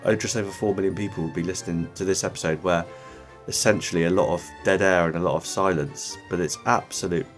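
A man with a very low voice, speaking earnestly as a podcast co-host. He talks casually and enthusiastically, with faint music in the background. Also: steady tone, fast talker. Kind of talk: casual chat.

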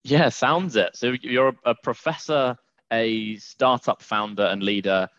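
A man's voice: medium pitch, measured pace, a bit bright, no room sound. A man hosts a podcast and interviews a guest in a mid-range voice. He is friendly and fills his questions with little hedging phrases. The recording is dry and a bit muffled. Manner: friendly, uses fillers like "sort of". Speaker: podcast host.

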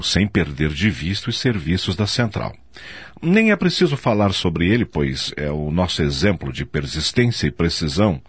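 An actor playing a self-assured, commanding company director in a radio soap opera, speaking formally as if giving a speech. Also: male voice, on the low side, husky, dry recording, heavily acted.

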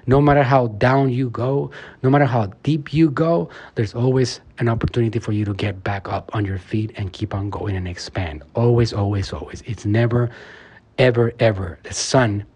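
A man's voice: mid-range voice, moderate pace, darkish tone, breathy edge. Earnest, emphatic man with lots of fillers. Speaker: marketing agency owner and podcast host. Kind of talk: motivational podcast talk and interview answers.

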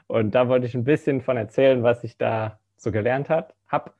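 A man's voice: mid-range voice, moderate pace. A young man in his twenties, raised in the Philippines, giving a hesitant personal testimony full of fillers. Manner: hesitant, many fillers, soft-spoken. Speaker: student ministry intern giving a testimony.

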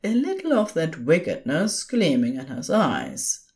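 A woman narrating scripted fan fiction as an expressive storyteller. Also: sits low, measured pace.

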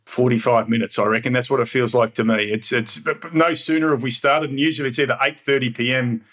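A man's voice: medium pitch, fairly even intonation, quick pace, fairly bright tone. A man hosting an interview podcast, asking friendly, rambling questions. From Australia. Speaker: podcast host. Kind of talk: interview host.

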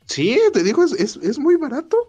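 Animated Mexican man chatting over faint music. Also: very high-pitched, normal speed, slightly rough, dull audio. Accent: Mexican Spanish. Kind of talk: casual group chat.

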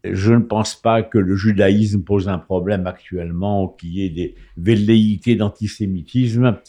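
A man's voice: lowish voice, fairly even intonation, measured pace, warm tone. A man from Morocco speaking on a radio talk show, hesitant, with frequent fillers and restarts. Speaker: guest commentator. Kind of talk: casual radio chat. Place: radio studio.

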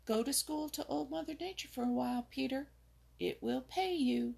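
A woman voicing a sharp, scolding little female wren in a storybook, with a raspy voice. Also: high-pitched, very animated, measured pace, voice pushed up, heavily acted. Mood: earnest.

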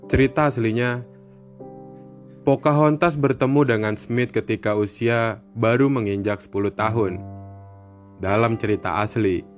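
A man hosting a horror podcast, reading scripted narration. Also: mid-range voice. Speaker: podcast host. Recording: music bed behind the voice. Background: background music.

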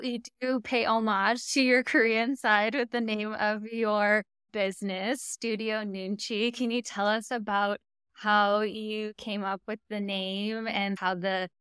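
A woman's voice: mid-range voice, a bit bright, smooth, dry recording, studio quality. An American woman hosting a podcast, talking in a chatty, reflective way with frequent fillers and light laughs. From United States. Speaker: podcast host. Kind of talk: casual interview chat.

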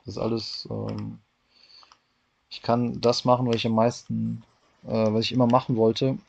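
A man's voice: medium pitch, level tone, normal speed, vocal fry, darkish tone, muffled recording, slight background noise. A man in his thirties, a racing driver answering interview questions casually, hesitant with many fillers and restarts, talking over a call from a hotel room. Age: 30s.

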